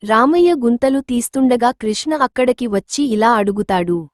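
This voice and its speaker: A woman's voice: a little high, animated, normal speed, no echo, clean studio sound. A woman reading scripted narration for a moral story.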